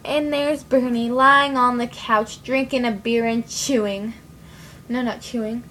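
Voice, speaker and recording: A woman's voice: a little high, fairly even intonation, unhurried, a bit bright, slight background noise. A woman playing an irritated wife who snapped at her husband's habit, telling it drily. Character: irritated woman who shot her gum-popping man.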